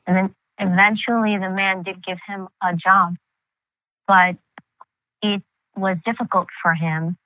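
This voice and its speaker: A woman's voice: mid-range voice, flat delivery, a little slow, long pauses, no echo. A woman from New in her thirties, telling her family story as an interview guest, candid and reflective with many fillers and restarts. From New York, United States. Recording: tinny phone-like line, dry.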